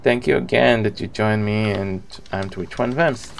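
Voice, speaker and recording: A man's voice: fairly low pitch, a little slow, vocal fry, a little hiss or hum. A man in his thirties with an Israeli accent, a native Hebrew speaker, chatting casually with viewers as he live-streams a video game.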